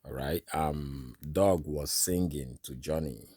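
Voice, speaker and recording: Nigerian man, Igbo native speaker, low voice, slow talk, informal sermon, lots of "you know", dry close sound. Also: darkish tone, breathy edge, dry recording. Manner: frequent fillers, repeats "all right" and "you know". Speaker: preacher sharing a dream.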